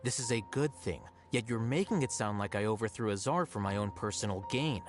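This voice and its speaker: A man playing an aloof, self-assured young scholar in a video game, coolly logical, measured and dry. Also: fairly low pitch, close to an everyday voice. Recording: game music under the voice.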